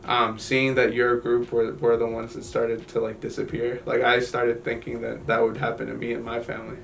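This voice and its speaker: A young man from Guatemala telling his family's story in an interview, halting, with many fillers and restarts. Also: mid-range voice, monotone, vocal fry, distant mic, a little hiss or hum. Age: young adult.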